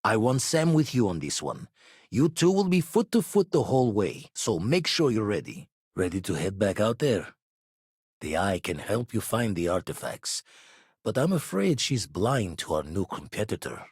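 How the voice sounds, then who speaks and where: Husky, seasoned ex-pirate spaceman. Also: on the low side, very animated, normal speed, darkish tone, dry recording, studio quality, exaggerated voice. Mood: steady, weathered. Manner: clipped folksy phrasing, dropped subjects, world-weary. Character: gruff, seasoned male ex-pirate spaceman, watchful and loyal. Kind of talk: video game voice acting, dramatic dialogue.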